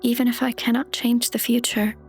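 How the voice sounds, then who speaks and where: A woman playing a gentle, sorrowful idealist queen in a fantasy game dub, her voice soft, breathy and measured. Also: a little high, little pitch movement, faint music behind. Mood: quiet resolve. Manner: measured, subdued, solemn.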